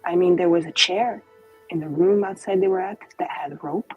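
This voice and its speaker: A woman playing a coy, gossiping noblewoman in a tabletop game, speaking in an arch, theatrical way with hesitant, drawn-out phrasing. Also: fairly low pitch, a little mellow, slightly rough, faint music behind. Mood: conspiratorial. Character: gossiping, coy noblewoman feigning disbelief at lurid rumors.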